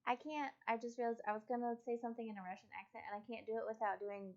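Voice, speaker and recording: A woman's voice: a little high, steady tone, very fast, darkish tone. A woman co-hosting a livestream, chatting casually and playfully as she relays comments from viewers. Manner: playful, bantering. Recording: dull lo-fi livestream audio.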